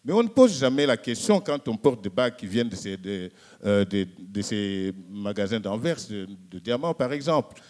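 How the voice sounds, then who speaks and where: A man answering as a panel speaker in a public discussion, arguing in a halting way and repeating words. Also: on the low side, big pitch swings, darkish tone.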